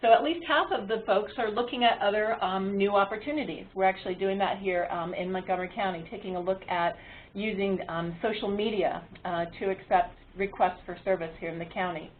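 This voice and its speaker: A woman's voice comes through a narrow, phone-like line with a medium pitch. She presents a webinar in an explanatory way, pausing often on ums and uhs as she moderates. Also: steady tone, a little hiss or hum. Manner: halting delivery with frequent ums and uhs.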